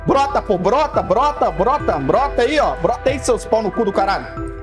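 A young Brazilian man doing excited live game commentary, repeating himself and swearing in slang. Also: high-pitched, moderate pace, over music. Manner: excitable, repetitive, heavily profane slang. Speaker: gaming streamer. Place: indoor room, webcam streaming setup.

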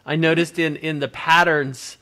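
A man's voice: a little high, fairly even intonation, normal speed, sharp tone. An older man hosting a video call interview, musing at length between his questions. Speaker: interviewer host. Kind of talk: casual interview chat. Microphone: laptop video call.

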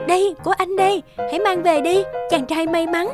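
A woman voicing a gentle, gracious young female moon fairy with a sweet voice in a children's cartoon fairy tale. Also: very high-pitched, steady tone, a little fast, over music, pitched above the performer's own voice. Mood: kind, generous.

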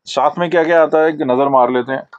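A man's voice: on the high side, quick pace, no echo, dull audio. A middle-aged man in a shop, explaining binoculars in a product review, hesitating often with "uh" fillers. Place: binocular shop, indoors, shelves of boxes. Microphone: lapel mic.

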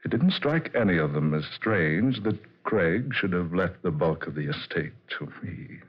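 A man's voice: low-pitched, expressive, a little slow, breathy edge, exaggerated voice. An actor playing a calculating male attorney, formal and self-assured, in a 1940s radio drama. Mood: smug, casual. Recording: muffled vintage radio recording.

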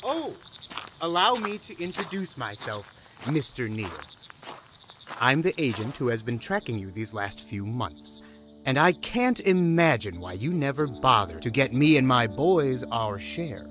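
A man playing a menacing, smooth-talking crooked federal agent in a 1920s audio drama, taunting and mock-polite. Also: medium pitch, big pitch swings, a little slow, fairly bright tone. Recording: long reverberant hall sound, faint music behind.

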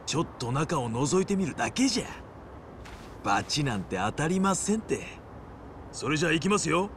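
An actor playing a rough, eager yakuza underling in a game cutscene, with a blunt manner and a Hiroshima accent. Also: male voice, on the high side, very animated, brisk, slight background noise. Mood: busy, urging. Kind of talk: video game cutscene acting. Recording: prominent game music behind.

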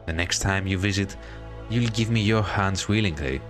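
Man reading as sinister dead girl's ghost, low-voiced. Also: moderate pace, fairly bright tone. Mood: coaxing menace. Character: menacing, possessive ghost of a dead girl. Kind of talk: reading visual novel dialogue aloud. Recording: music behind the voice.

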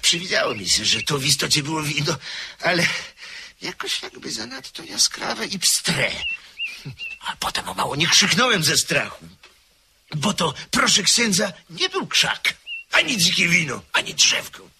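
A jittery nervous gentleman. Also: on the high side, huge pitch swings, normal speed, gravelly, exaggerated voice. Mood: anxious. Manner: stammering, anxious, breathless retelling. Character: nervous short-sighted gentleman, jittery and fussy. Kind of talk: radio drama acting. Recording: old radio play with faint hiss.

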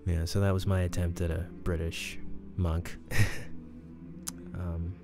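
A man speaking as an audio drama director in a casual, halting, easygoing commentary. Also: sits low, little pitch movement, gravelly, over music.

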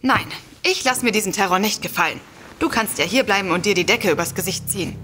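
A woman's voice: medium pitch, fast talker, sharp tone, slight background noise, lightly acted. A woman as a bold, confident sister. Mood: determined. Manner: confident, wry. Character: bold, teasing, defiant sister, a novelist. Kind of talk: German audio drama acting.

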